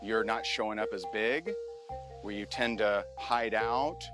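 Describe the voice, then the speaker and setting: A man's voice: on the low side, a little slow. Earnest male host over music. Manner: earnest personal storytelling. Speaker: show host and business coach. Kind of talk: motivational talk to camera. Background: music bed.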